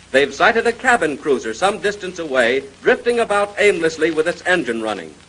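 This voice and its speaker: A male announcer in the manner of 1930s radio. His voice sits high for a man and has a husky grain. He reads promotional copy and story introductions in a formal scripted style. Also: a bit bright, low-quality recording.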